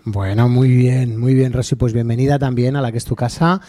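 A man's voice: medium pitch, steady tone, fairly quick, dark tone. A man from Spain hosting a radio travel show, jokey and laughing often as he chats with guests. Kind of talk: lively radio chat.

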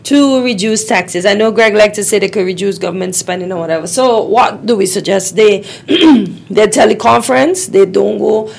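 Woman, radio host, lively questions, losing her voice. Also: medium pitch, creaky. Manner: lively, quick rhetorical questions. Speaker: radio talk show host. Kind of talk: call-in radio discussion.

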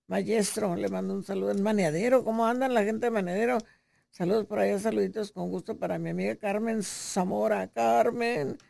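A woman's voice: medium pitch, vocal fry, darkish tone, no room sound. A woman hosting a radio show, sending chatty, playful greetings to listeners. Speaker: radio host.

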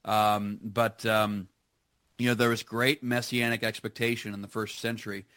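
A man's voice: fairly low pitch, flat delivery, normal speed, a bit bright, no echo. A man as a guest on a live radio show, chatting enthusiastically with plenty of fillers and restarts. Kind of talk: casual radio conversation.

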